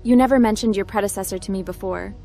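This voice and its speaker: A woman's voice: medium pitch, fast talker, gravelly, mellow, natural voice. A woman voicing a friendly, curious female hacker adventurer as the protagonist of a space video game. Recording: faint music behind the voice.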